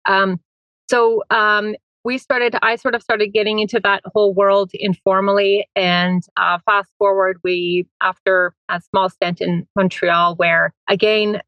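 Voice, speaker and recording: A middle-aged woman, a foundation founder, speaking in an interview and explaining at length with frequent pauses and "um"s. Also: on the low side, vocal fry, no echo, poor audio. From Finland. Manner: conversational, many "um"s, steady explaining. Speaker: foundation president and founder, guest. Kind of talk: interview conversation.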